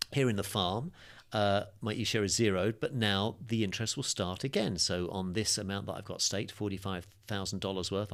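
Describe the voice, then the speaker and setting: A man's voice: lowish voice, a bit bright. A man teaching a crypto course, walking through a screen-share tutorial in a chatty, upbeat way with fillers, his voice a little worn. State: slightly losing his voice, coughing.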